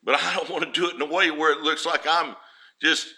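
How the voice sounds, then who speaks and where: A man's voice: on the high side, steady tone, a little fast. A man preaching in a homespun, storytelling way. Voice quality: naturally loud voice. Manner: homespun, storytelling, rises loud for emphasis. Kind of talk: folksy sermon.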